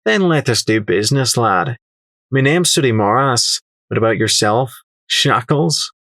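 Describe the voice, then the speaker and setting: A man's voice: mid-range voice, animated, measured pace, fairly bright tone, dry recording, studio quality. A man voicing a shrewd, condescending pony merchant in an audiobook story, with a sly, flighty, sneering delivery. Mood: haggling, smug. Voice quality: flighty, airy. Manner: sneering, condescending, calling others laddie.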